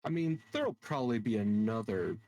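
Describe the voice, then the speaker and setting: A man chatting casually as a player in a tabletop game. He speaks hesitantly, trailing off and filling gaps with little verbal pauses. His voice sits low with a gravelly edge. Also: animated, darkish tone, no room sound. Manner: hesitant, trailing off, lots of fillers.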